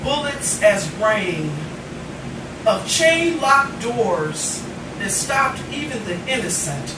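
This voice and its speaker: A middle-aged woman reading poetry live, with deliberate, dramatic phrasing and pauses. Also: a little high, very animated, far from the mic. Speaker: poet, featured reader. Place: indoor cafe reading. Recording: noisy, echoey room recorded from afar.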